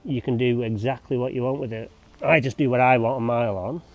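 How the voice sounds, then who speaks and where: A man's voice: medium pitch, fairly even intonation, a little fast, darkish tone, dull audio. A man chatting casually to camera while working outdoors, rambling with joking asides. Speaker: lawn care vlogger. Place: outdoors on a lawn.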